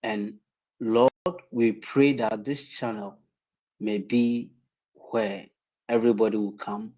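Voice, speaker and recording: A man from Ghana offering a prayer and warm advice as a panelist on a livestream call. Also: fairly low pitch, steady tone, lo-fi sound. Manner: earnest, slow, preacher-like phrasing. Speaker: guest panelist. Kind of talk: prayer and well-wishing on a livestream.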